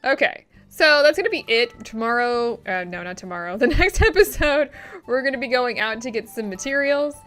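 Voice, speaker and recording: A woman's voice: fairly high pitch, lively intonation, moderate pace, fairly bright tone. A woman hosting a gaming video, giving informal, rambling let's play commentary. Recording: music behind the voice. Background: game music.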